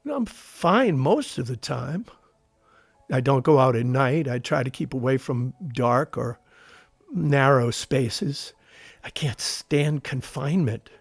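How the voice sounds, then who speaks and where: A man's voice: medium pitch, expressive, takes it slowly, gravelly, husky, no echo, soft background music, heavily acted. Casting: male ex-prisoner of war for audio drama, uneasy, curious, everyday middle register, conversational and unpolished. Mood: troubled, confessional. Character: grown war veteran, curious, uneasy, haunted by captivity.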